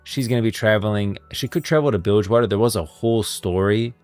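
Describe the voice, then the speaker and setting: A man's voice: lowish voice, quick pace, a little mellow, clear, resonant tone, faint music behind. A man hosting a livestream with casual, emphatic and opinionated commentary on game lore. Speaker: livestream host.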